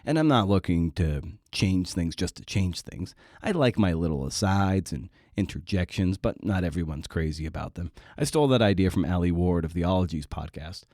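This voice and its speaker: A man's voice: low voice, lively intonation, moderate pace, few pauses, a little mellow, no room sound, clean studio sound. A man hosting a podcast in an informal, rambling, conversational way. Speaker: podcast host.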